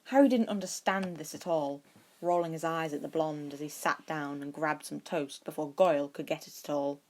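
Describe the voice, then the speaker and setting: A woman's voice: sits low, fairly even intonation, moderate pace, darkish tone. A woman reading scripted fiction aloud as an audiobook-style narrator.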